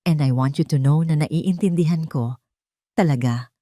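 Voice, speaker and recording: A woman voicing a sweetly condescending rich young woman in an audio story, gentle and sugary, mixing English into Tagalog. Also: low-pitched, moderate pace, no echo, clean studio sound. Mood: false sympathy.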